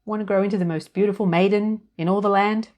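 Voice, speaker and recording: A woman's voice: mid-range voice, fairly even intonation, fast talker, darkish tone, lo-fi sound, pitched above the performer's own voice, natural voice. A woman voicing a tiny, cheerful, scatterbrained older fairy godmother in a storybook reading, breezy and chatty.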